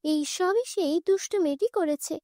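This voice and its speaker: Woman as a very high-voiced righteous fairy. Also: normal speed, warm tone, dry recording, clean studio sound. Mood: kindly. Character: righteous female fairy, kindly to good, stern with wrongdoers. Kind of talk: cartoon fairy tale voice acting.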